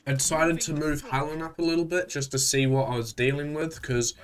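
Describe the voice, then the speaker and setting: A man with a stuffy voice from a cold, hosting a casual, relaxed recap of a strategy game with frequent fillers. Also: a little high, brisk. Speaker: gaming channel host.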